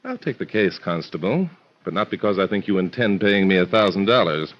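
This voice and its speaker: An actor playing a shrewd, self-possessed American private detective in an old radio mystery, probing and wry. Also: male voice, low voice, animated, brisk. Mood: assured, curious. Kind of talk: 1940s radio acting. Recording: dull vintage radio recording.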